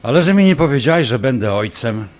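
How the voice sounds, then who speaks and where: Man acting a smug, obsessive doctor, swinging pitch. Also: animated, quick pace, lightly acted. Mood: hurt, accusing. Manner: taunting, insinuating. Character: smug, obsessive male urologist, manipulative ex-lover. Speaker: actor in an audio drama. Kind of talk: audio drama acting, dialogue.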